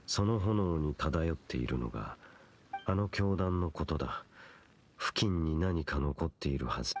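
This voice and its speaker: An actor playing a stoic, brooding young knight in an anime-style fantasy game, speaking in a solemn, curt and measured way. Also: male voice, very deep voice, expressive, a little hiss or hum. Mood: grave, cool. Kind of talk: Japanese anime game voice acting.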